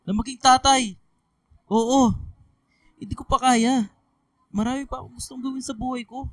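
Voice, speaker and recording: A hesitant young boyfriend, dry sound. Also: male voice, extremely high pitch, steady tone, normal speed, a bit bright, no room sound. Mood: afraid, defensive. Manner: hesitant, defensive. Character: scared, evasive young student boyfriend, reluctant father. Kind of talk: radio drama acting.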